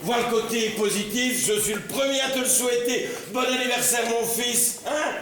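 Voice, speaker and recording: A man's voice: extremely high pitch, normal speed, fairly bright tone. An actor playing a condescending middle-aged politician father, ironic and mock-polite, in a stage comedy. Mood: teasing. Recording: very echoey stage recording.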